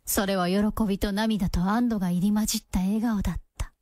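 A woman's voice: medium pitch, steady tone, fairly quick, darkish tone, no room sound, clean studio sound. A woman reading scripted narration for a radio drama as its storyteller.

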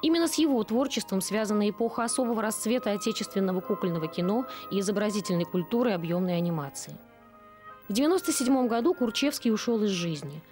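A woman's voice: mid-range voice, expressive, fast talker, darkish tone. A woman reading scripted narration for a documentary. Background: music.

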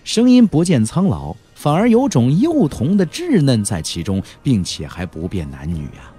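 A man narrating an audiobook in a dramatic storytelling style, expressive, with drawn-out emphasis. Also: medium pitch, breathy edge, faint music behind.

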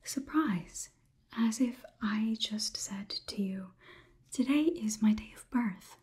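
A woman's voice: fairly high pitch, takes it slowly, a little mellow. A woman voicing a curious, detached robot overlord in an ASMR roleplay, with stilted formal phrasing, recorded close to the mic. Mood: detached curiosity. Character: curious, clinical female robot overlord studying human emotions.

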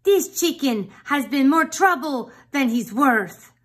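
A woman voicing a bossy male palace cook who speaks loudly and with exasperation. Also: sits high, animated, takes it slowly, voice pushed up. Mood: exasperated. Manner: loud, exasperated.

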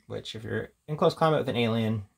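A man's voice: fairly low pitch, fast talker, vocal fry, slightly rough. A man giving a casual, unscripted, rambling commentary as a tabletop game reviewer, reading out headings between offhand remarks.

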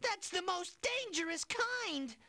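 Squeaky, timid little animal sidekick. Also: female voice, animated, slow pace, bright, forceful tone, dry recording, heavily acted. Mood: nervous. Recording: music under the voice.

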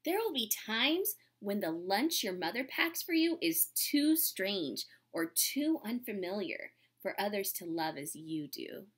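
Woman, thirties, children's read-aloud, expressive storyteller, swooping intonation, husky edge, indoors at home. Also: mid-range voice. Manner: animated, dramatic emphasis, asking the young listeners questions. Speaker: storytime host reading a picture book to children. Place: small room indoors at home.

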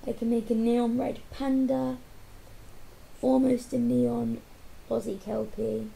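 A young woman with a hoarse, croaky voice, chatting casually while co-hosting a gameplay video. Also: on the high side, little pitch movement, takes it slowly, mellow, low-quality recording. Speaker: co-host of a gaming channel.

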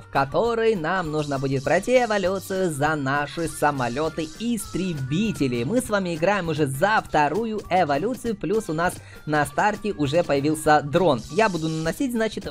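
A man hosting a mobile game playthrough, giving chatty, casual commentary addressed to his viewers. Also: on the high side. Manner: chatty, uses cute diminutives.

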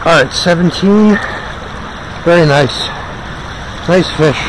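A man talking casually to himself as he fishes, muttering encouragement and exclamations, with a gravelly voice. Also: on the high side. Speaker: angler filming his own fishing. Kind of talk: casual self-talk while fishing. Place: outdoors on a wooded river. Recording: noisy outdoor recording with river noise. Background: rushing river water.

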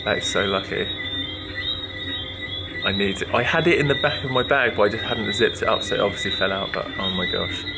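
A young man, talking excitedly to a handheld camera as a travel vlogger. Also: on the low side, brisk, vocal fry, loud music behind the voice. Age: young adult. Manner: chatty, excitable, talks to camera. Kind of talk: casual vlog narration. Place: car, outdoors and hotel room. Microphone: camera held at arm's length.

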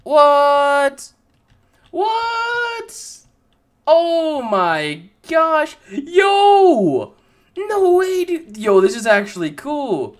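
A young man casually commenting on his own gameplay, chatty and excitable, talking to his viewers. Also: very high voice, extremely slow. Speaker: gaming YouTuber.

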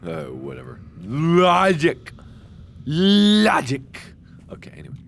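A man with a hoarse voice giving jokey let's-play commentary into a headset, with sudden excited yelps. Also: fairly high pitch, slow pace, a little hiss or hum. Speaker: gaming video host.

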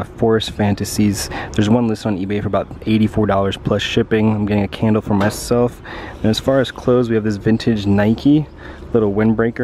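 A man's voice: lowish voice, darkish tone. Young man vlogging casually over background noise. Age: young adult. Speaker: vlogger and clothing reseller. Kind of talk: casual vlog chat. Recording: clear outdoor and vehicle noise.

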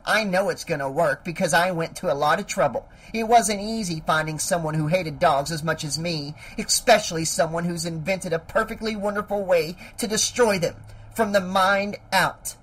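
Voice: medium pitch, moderate pace, a bit bright, husky. A narrator voicing a spiteful, scheming woman villain in an audiobook, with an imperious, theatrical manner. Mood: smug, menacing.

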